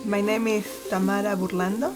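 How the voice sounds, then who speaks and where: A woman's voice: mid-range voice, level tone, fairly quick. Woman artist over music. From Argentina. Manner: warm, earnest, with many fillers. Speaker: textile artist. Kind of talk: reflective interview. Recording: music bed under voice.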